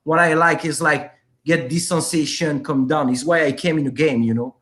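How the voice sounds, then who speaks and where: Animated French man in casual chat. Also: fairly high pitch, normal speed. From France. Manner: animated, jokey, says "you know" and "my friend" often. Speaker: electric skateboard maker.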